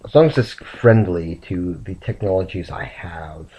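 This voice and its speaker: A man sick and rambling softly to his camera late at night in a raspy, half-whispered voice. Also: deep voice, poor audio. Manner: slow, meandering, soft-spoken. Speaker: vlogger.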